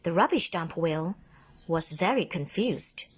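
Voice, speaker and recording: A woman narrating a children's bedtime story in a deliberate, dramatic manner, stretching words for emphasis. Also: fairly low pitch, expressive, breathy edge. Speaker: speech and drama teacher reading a story.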